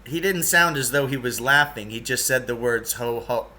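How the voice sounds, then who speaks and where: A man reading a storybook aloud as narrator, with animated, scripted delivery. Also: medium pitch, moderate pace. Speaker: story reader.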